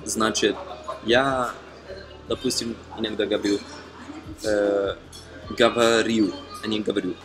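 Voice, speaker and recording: Low-voiced young man, halting non-native talk. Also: flat delivery. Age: young adult. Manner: halting, frequent fillers and self-corrections, non-native speaker. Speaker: language-learning vlogger. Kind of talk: casual talk to camera. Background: background music.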